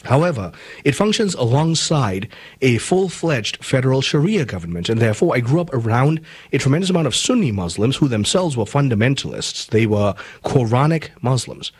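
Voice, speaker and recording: A man from Malaysia hosting a talk show, giving measured commentary and then interviewing a guest. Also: mid-range voice, very animated, husky. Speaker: host.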